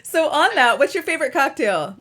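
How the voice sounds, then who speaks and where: A woman's voice: high-pitched, very animated, fairly quick, a bit bright. A woman hosting a casual, conversational interview. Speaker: interviewer, host. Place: remote online conversation.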